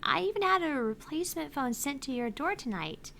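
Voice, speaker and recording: A woman's voice: high voice, big pitch swings, brisk, gravelly, fairly bright tone, faint music behind, full character voice. A woman reading the lines of a cheerful female AI phone assistant aloud, earnest and a little pleading. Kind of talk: reading game dialogue aloud.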